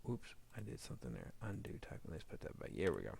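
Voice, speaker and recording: Gravelly low-voiced man talking through edits. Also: wildly swooping pitch, fast, a little mellow, slight background noise. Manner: informal, thinking aloud while working. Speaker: audio drama editor demonstrating editing. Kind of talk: casual screen-recorded editing tutorial.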